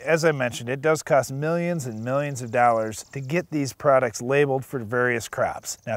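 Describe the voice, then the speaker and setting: A man's voice: mid-range voice, no room sound. Middle-aged male farm show co-host, plain explainer, dry recording. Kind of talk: scripted outdoor farm show chat. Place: outdoors in a field.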